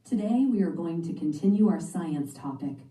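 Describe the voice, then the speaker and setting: Kind storybook schoolteacher, read by a woman, low mellow voice, clear instructions, roomy distant sound. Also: animated, moderate pace, close to an everyday voice. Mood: instructing.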